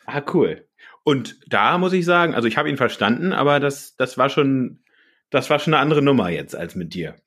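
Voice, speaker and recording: A man from Berlin interviewing a musician in a casual, lively and curious chat. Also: mid-range voice, normal speed, husky.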